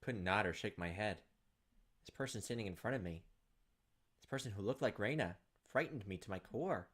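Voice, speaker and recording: A man hosting a game video, reading visual novel text aloud with slow suspense and dropping into casual commentary. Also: fairly low pitch, expressive, a bit bright. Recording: clearly noisy home recording, clicks, insect sounds.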